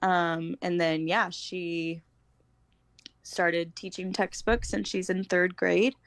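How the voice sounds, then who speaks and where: Creaky-voiced American woman, low and casual. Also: flat delivery, takes it slowly, no room sound. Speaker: podcast guest, homeschooling mother. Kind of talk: casual conversational chat.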